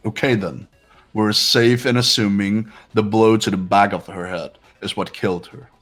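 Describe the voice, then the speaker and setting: Low-voiced, haughty, calculating young man, acted by a man. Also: steady tone, a bit bright, soft background music. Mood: certain. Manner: formal, pompous, deliberate. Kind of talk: fan-voiced visual novel game acting.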